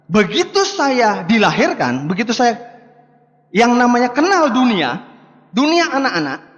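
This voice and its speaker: A man's voice: sits high, a bit bright, muffled recording. A man gives a motivational talk in a conversational way, putting rhetorical questions to his listeners. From Bali, Indonesia. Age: 50s. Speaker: motivational speaker. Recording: echoing hall, long reverb.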